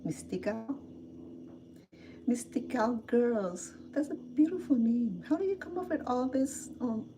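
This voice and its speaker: A woman's voice: a little high, lively intonation, unhurried, warm tone, a little hiss or hum. A middle-aged woman from Cambodia with a Cambodian accent, chatting casually with livestream viewers while cooking in her home kitchen. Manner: chatty, answers viewer comments, laughs often.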